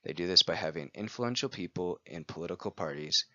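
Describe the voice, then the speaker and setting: A man's voice: low-pitched, lively intonation, a little fast, sharp tone, dry recording, lo-fi sound. A man giving a scripted presentation, reading steadily from a prepared text. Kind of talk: scripted read-aloud presentation.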